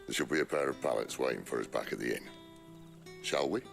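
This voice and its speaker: Extremely low and gravelly, this middle-aged fantasy game outlaw leader sounds gruff and world-weary. He talks in a dry, wry, colloquial way, with faint music behind him. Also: quick pace, heavily acted. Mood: wry, pondering. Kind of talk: video game cutscene acting.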